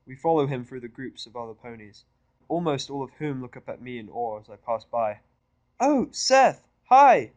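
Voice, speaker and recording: A man's voice: mid-range voice, a little fast, darkish tone, no echo. A man narrating first-person fiction with a sardonic, irritable delivery. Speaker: audiobook narrator.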